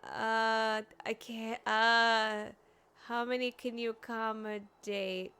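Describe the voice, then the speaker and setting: A woman's voice: on the high side, little pitch movement, takes it slowly, pushed and bright, clear, resonant tone. A woman live-streaming a game, reacting aloud with nervous laughter and dramatic dread. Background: game music.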